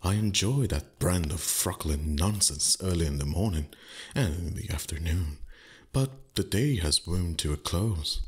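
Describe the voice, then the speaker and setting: A man voicing a haughty, teasing male dragon in intimate ASMR roleplay, husky and breathy, condescending with flustered pauses. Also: deep voice, animated, exaggerated voice. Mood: grudging, teasing.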